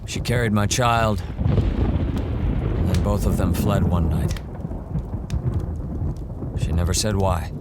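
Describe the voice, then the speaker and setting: An actor playing a hardened, confident monster hunter wandering a wasteland in a post-apocalyptic audio drama. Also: male voice, sits low, slow pace, pauses often. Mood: reflective, somber. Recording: loud ambient noise behind the voice.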